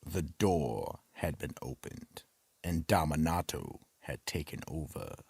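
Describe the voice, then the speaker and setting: A man with a husky, gravelly voice, ominously narrating and announcing a scripted horror radio drama. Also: very deep voice, animated, a little mellow, no room sound. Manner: slow, ominous.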